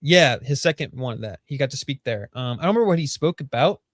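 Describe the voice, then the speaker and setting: A man's voice: mid-range voice, lively intonation, fast talker, no echo. Chatty young man on a dry call. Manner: chatty, laughs often, rambling asides. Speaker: co-host. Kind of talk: casual live-stream chat.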